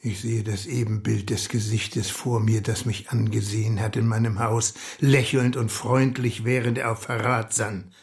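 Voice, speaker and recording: Actor as grave, wounded working-class uncle. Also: male voice, on the low side, level tone, lightly acted. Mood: wounded, bitter. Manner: grave, earnest, deliberate. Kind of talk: radio drama acting.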